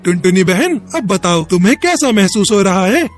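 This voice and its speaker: A man's voice: high-pitched, very animated, moderate pace, soft background music. Man voicing a kindly, reassuring cartoon cloud. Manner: reassuring, advising. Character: kind male talking cloud, brotherly helper. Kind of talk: Hindi moral cartoon dialogue.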